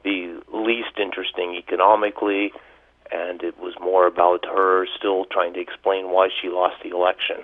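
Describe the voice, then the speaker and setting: A man's voice: sits low, level tone, moderate pace. A man in his late forties calling in to a talk show, speaking hesitantly with many fillers. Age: 40s. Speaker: caller. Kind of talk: call-in chat. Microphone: phone. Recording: tinny phone line.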